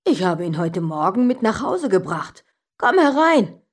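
A woman's voice: medium pitch, very animated, unhurried, breathy edge. A woman voicing a friendly, amused uncle in a children's story, easygoing and inviting. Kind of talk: children's story character dialogue.

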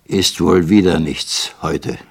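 A man voicing a terse, brooding old man with a deep, hoarse voice who grumbles in clipped phrases. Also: fairly even intonation, slow pace, heavily acted. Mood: grumbling. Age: older.

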